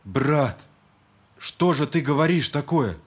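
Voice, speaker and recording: An actor playing a gentle, devout and troubled young brother in an audio drama, speaking earnestly. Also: male voice, fairly high pitch, expressive, slow, slightly rough, exaggerated voice. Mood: distressed. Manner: earnest, soft-hearted, prayerful.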